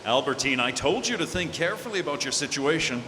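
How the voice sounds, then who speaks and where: A man's voice: fairly high pitch, animated, fast, close to an everyday voice. A man playing a smug, oily crook with condescending, sugary talk in an old-time radio drama, recorded in a hall. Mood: gloating, menacing. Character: oily, smug male crook, gullible double-crosser. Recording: noisy, echoey live hall recording.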